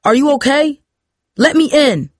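A woman voicing a stern, protective, angry father in an audiobook story, scolding forcefully. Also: on the low side, fast, no room sound, studio quality, close to an everyday voice. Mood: furious, threatening. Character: furious, protective father confronting daughter and boy.

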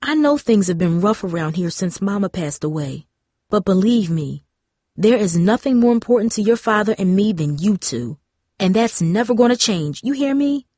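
A woman's voice: mid-range voice, lively intonation, normal speed, mellow, dry recording, studio quality, close to an everyday voice. A woman playing a loving mother in a storybook, soothing and earnest. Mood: tender, apologetic. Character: loving, reassuring mother of teenagers.

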